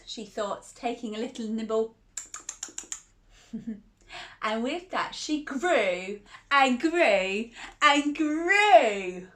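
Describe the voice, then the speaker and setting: A woman's voice: fairly high pitch, fairly bright tone. A woman reading a picture book aloud to children in a cozy, playful way, with chatty asides and laughs between the lines.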